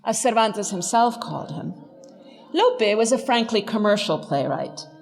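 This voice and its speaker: A woman's voice: mid-range voice, lively intonation, measured pace, creaky. A middle-aged woman giving a scripted lecture as a professor, articulate, emphatic and wryly humorous. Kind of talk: scripted lecture with dry humour. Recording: long hall reverb, faint music behind.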